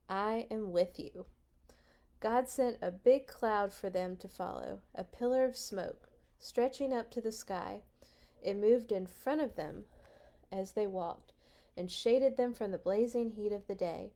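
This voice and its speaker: A woman reads a story for children at an unhurried pace. Her voice sits at a medium pitch, and she is expressive and playful, slipping into casual asides. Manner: playful, animated in telling. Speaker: children's storyteller at a church read-along.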